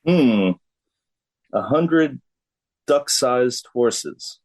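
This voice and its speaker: A man's voice: mid-range voice, animated, extremely slow, a little mellow. A man speaking casually as a guest in an interview. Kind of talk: casual chat.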